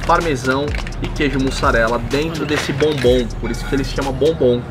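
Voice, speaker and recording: A man's voice: mid-range voice, fairly even intonation, brisk, music in the background. A young man with a Brazilian accent hosting a food vlog, chatting eagerly and describing each dish between bites at a restaurant table. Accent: Brazilian Portuguese. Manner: enthusiastic, slangy, describes food as he eats. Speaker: food vlogger host. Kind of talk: casual food review chat. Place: indoor restaurant booth.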